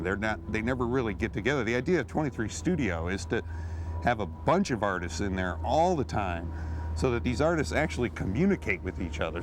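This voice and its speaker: A man who founded a gallery, recalling its start in a documentary interview, pausing often with fillers. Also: fairly low pitch, noisy background. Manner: hesitant, many "uh" fillers.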